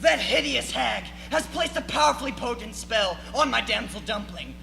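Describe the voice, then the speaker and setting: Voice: mid-range voice, animated, moderate pace, vocal fry. A teenage performer playing a pompous, vengeful young storybook prince in a stage comedy, declaiming in florid, theatrical style. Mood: grandiose, vengeful. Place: school speech competition performance in a hall. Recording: echoey hall, music behind.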